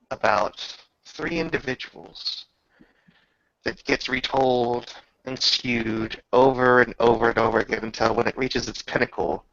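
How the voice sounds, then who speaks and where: A man acting as game master, telling a tabletop roleplaying story in a measured, grandiose manner with drawn-out pauses. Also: medium pitch, creaky, pushed and bright. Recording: slightly dull, roomy home recording.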